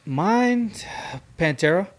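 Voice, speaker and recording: A man's voice: high-pitched, expressive, slow pace. A man hosting a casual podcast, putting questions to the group. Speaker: podcast host asking questions. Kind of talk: casual interview chat.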